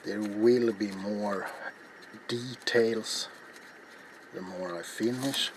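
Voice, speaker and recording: Slow, low-voiced man narrating crafts. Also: steady tone. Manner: rambling, halting, repeats words. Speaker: host of a craft video channel. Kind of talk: casual hushed ASMR craft demonstration. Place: small cluttered indoor workshop. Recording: noisy room ambience.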